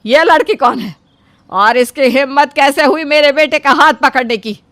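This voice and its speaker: A woman playing a scheming, manipulative older mother in an animated moral story, theatrical and scolding. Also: high-pitched, moderate pace, no echo. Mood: outraged scolding. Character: scheming, melodramatic ageing mother nagging her son to marry.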